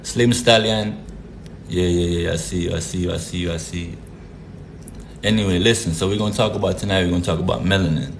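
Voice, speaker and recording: Jamaica-born guy on a live stream, real deep and flat, chill, lots of noise behind him. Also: fairly quick, gravelly. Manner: laid-back, repeats greetings and fillers. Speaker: livestream host. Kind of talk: casual livestream talk greeting viewers.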